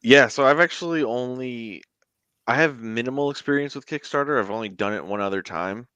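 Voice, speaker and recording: A man's voice: mid-range voice, brisk, sharp tone, smooth, dry recording. A man speaking as a guest in a casual interview, with a rambling, conversational delivery. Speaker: guest, indie tabletop game creator.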